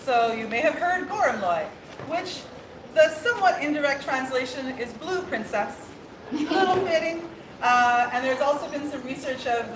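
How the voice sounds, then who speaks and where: A woman from Ireland, her voice set a little high, gives a ceremonial welcome with frequent laughs and restarts. She sounds roomy and echoey, as if in a large hall, with steady background noise under her. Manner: warm, laughing, many fillers and restarts. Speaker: new princess opening a court. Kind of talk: ceremonial speech and casual exchange.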